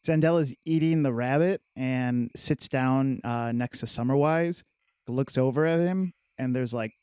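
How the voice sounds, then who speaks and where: A woman's voice: very deep voice, unhurried, warm tone, clear, resonant tone, dry recording. A woman playing a tabletop role-playing game, casually narrating her character's actions. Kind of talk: casual improvised game chat.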